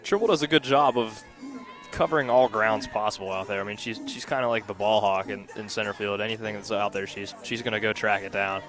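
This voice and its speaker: Low-voiced young man, softball color commentary. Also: fairly even intonation, brisk, soft background music. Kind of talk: conversational live sports commentary. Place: outdoors at a softball field.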